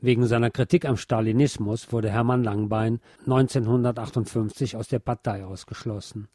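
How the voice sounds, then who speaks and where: Male narrator for literary documentary reading; mid pitch, dark soft tone, dry studio sound. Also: fairly even intonation, normal speed, dry recording. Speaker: narrator reading a historical letter and credits.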